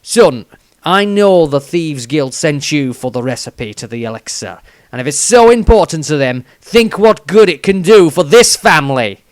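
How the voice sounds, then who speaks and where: Man as a stern old halfling father, raised pitch. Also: lively intonation, normal speed, no echo, natural voice. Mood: stern, commanding. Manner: scolding, commanding.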